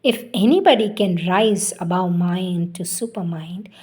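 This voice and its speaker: A woman reading spiritual writings aloud in a solemn, deliberate, devotional manner. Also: fairly low pitch, a little mellow.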